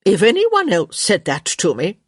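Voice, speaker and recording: A woman voicing an elderly countess, sharp, theatrical and blunt, in a story reading. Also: medium pitch, huge pitch swings, a little slow, slightly rough, no echo, studio quality, voice pushed up, full character voice. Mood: gruffly fond.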